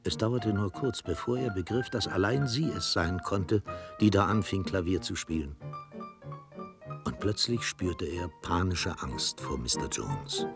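A man with a husky voice narrating a literary radio play in a dramatic storytelling style. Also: sits low, lively intonation, unhurried, music in the background.

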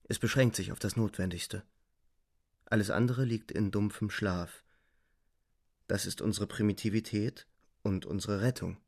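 A man playing a weary, despairing young German soldier, narrating gravely and deliberately in a literary radio play. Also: on the low side, fairly even intonation, a little mellow, dry recording, studio quality. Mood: weary, reflective. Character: twenty-year-old German soldier, weary, despairing, reflective.

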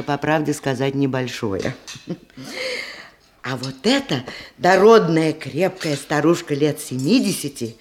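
An actress playing a genteel provincial widow in a radio play, narrating in a chatty, ornate, old-fashioned way. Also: female voice, low-pitched, slight background noise. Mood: wry, confiding. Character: genteel provincial widow hostess of nineteenth-century Russia.

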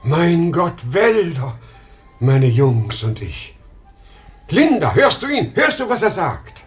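An ageing, dreamy salesman at the end of his strength. His pitch leaps hugely from hushed murmurs to cries, in an emotional vintage radio drama style. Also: sing-song, takes it slowly, soft background music. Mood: excited, yearning. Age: older. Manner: emotional, swinging between outcry and murmur. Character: desperate, worn-out ageing travelling salesman, proud and dreamy. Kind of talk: 1950s German radio drama acting.

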